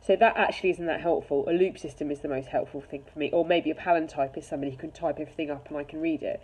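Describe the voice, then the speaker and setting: A woman's voice: deep voice, mellow, low-quality recording. A woman talking candidly and casually, giving her personal opinion in a conversational way. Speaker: charity chief executive giving an opinion. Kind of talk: casual opinion talk to camera.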